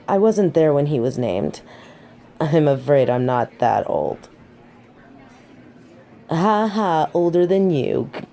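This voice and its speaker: A haughty female angel, cast from heaven , voiced by a woman, speaks in slow, measured phrases with a bright, crisp edge. Her voice sits a little low for a woman. She is pompous, formal and disdainful, as befits an ancient judge of souls in an audio drama. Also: heavily acted. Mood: wry, reminiscing. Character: haughty, snobby fallen female angel of judgement, centuries old. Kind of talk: scripted audio roleplay drama.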